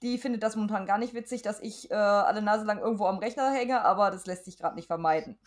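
Chatty woman podcaster, medium pitch. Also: fast talker. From Germany. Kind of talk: casual podcast chat.

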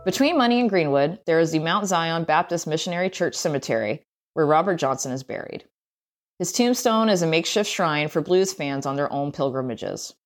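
A woman's voice: low-pitched, expressive, fairly quick, faint music behind. A woman reading a scripted travel essay aloud as narration. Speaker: essay reader.

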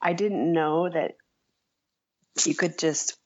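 A woman's voice: low voice, fairly even intonation, slow, a little mellow, lo-fi sound, a little hiss or hum. A woman being interviewed as a writer, speaking casually and reflectively in a hesitant, self-effacing way.

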